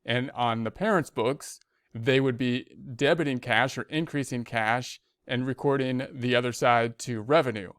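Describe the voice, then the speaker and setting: Male instructor, medium pitch, crisp and smooth, explains patiently through restatement in an informal lecture style. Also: moderate pace, fairly bright tone, dry recording, studio quality. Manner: repeats and rephrases step by step. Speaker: accounting instructor. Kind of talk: explanatory lecture, loosely scripted.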